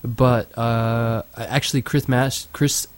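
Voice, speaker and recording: A man's voice: mid-range voice, little pitch movement, slow. A man co-hosting a crude casual chat show, talking in a profane, offhand way that often trails off. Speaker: podcast co-host.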